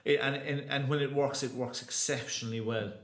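A man's voice: medium pitch, steady tone, fairly quick, fairly bright tone. Chatty man in a roomy echo. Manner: chatty, many restarts and fillers. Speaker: guest, opera singer. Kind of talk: casual interview talk.

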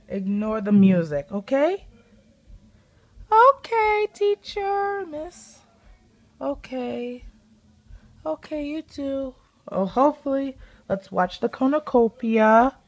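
Woman, slow deliberate speech, fairly high pitch, casual doll chat, small bedroom, close device mic. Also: muffled recording. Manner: slow, deliberate, repeats herself. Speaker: doll vlogger. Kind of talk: casual chat to dolls and viewers.

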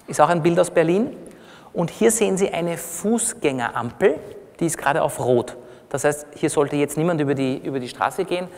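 A middle-aged man with an Austrian accent gives an explanatory, conversational lecture, teaching plainly and checking in with short tag questions. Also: a little high. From Austria, born in Iran. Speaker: physician giving a lecture. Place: on stage in a large congress hall. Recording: reverberant large hall.

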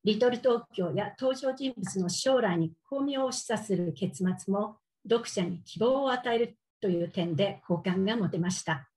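An older woman, a native Japanese speaker with a Japanese accent, giving a prepared formal speech as a contest judge in a measured way. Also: mid-range voice, darkish tone, rough recording. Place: indoors on a video call, virtual backdrop.

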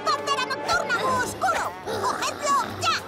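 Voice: very high voice, normal speed. An actor playing a bossy, scheming boy mad scientist in a cartoon. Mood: gloating. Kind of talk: cartoon dub acting. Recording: loud music underneath.

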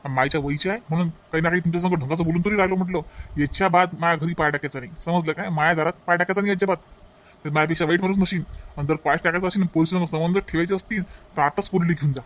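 Male actor as angry bossy husband, cartoon family drama, Varhadi Marathi accent, high pitch, quick delivery. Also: lightly acted. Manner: hectoring, scornful. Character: furious domineering husband scolding his wife and mother-in-law.

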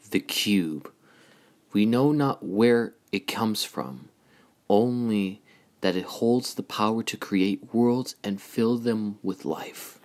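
A man voicing a noble, solemn giant robot leader, declaiming a movie monologue gravely in a measured way. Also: on the low side. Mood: solemn, epic. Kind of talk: movie monologue in amateur voice acting.

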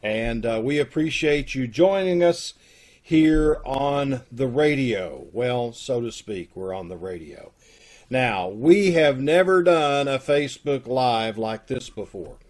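A man's voice: mid-range voice, a little mellow. A middle-aged man hosting from home on a video call, announcing and chatting casually. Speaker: host introducing a live radio troupe show. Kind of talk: casual live announcing and chat.

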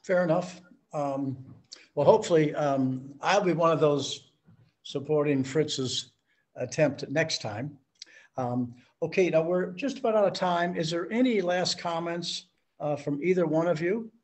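A man hosting a virtual town hall, asking questions in a halting, conversational way. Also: on the high side, darkish tone. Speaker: host. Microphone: video call.

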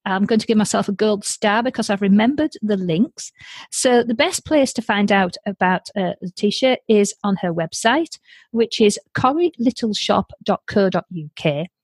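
A woman's voice: medium pitch, expressive, moderate pace, a little mellow, breathy edge, dry recording. A woman with a Yorkshire accent hosting a light-hearted podcast interview in a chatty, exclamatory way. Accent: Yorkshire English. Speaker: podcast host.

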